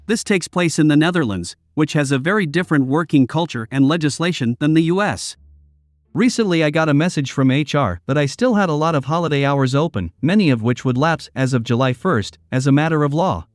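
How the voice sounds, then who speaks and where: A man reading online posts aloud as story narration, in a steady, even delivery. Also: fairly high pitch, no echo, clean studio sound.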